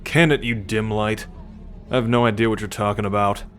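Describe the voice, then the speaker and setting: A man's voice: fairly low pitch, fairly even intonation, brisk, fairly bright tone, soft background music, close to an everyday voice. A man voicing a cocky, teasing alien military scout and possessive captor in an ASMR roleplay, boastful and sardonic with slangy swearing. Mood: boastful, defensive. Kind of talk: ASMR roleplay acting addressed to the listener.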